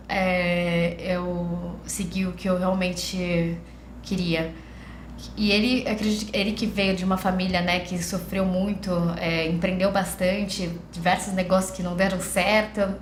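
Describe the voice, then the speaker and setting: A woman's voice: mid-range voice, steady tone, unhurried, a little hiss or hum. A Brazilian woman entrepreneur talking hesitantly and reflectively in a documentary interview. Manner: hesitant, reflective, frequent fillers. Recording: roomy interview room.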